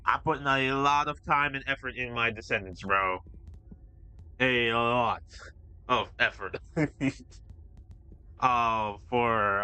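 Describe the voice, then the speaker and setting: A man's voice: medium pitch, pushed and bright, smooth, no echo, poor audio. A man casually commentating his own gameplay, laid-back and swearing often. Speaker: gameplay streamer.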